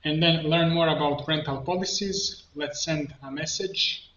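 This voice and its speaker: Slow, bright-voiced man in an echoey room. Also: a little high, steady tone. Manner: halting, instructional, narrating each click. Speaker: coach giving a software tutorial. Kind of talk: unscripted screen-share tutorial, thinking aloud step by step. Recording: muffled lo-fi, roomy echo, background noise.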